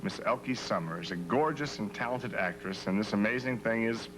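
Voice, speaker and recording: An actor playing a smarmy, dryly sarcastic talk show host who speaks in a smooth, mock-sincere way on a 1970s satirical show. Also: male voice, on the low side, moderate pace, close to an everyday voice. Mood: wry. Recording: noisy 1970s TV tape.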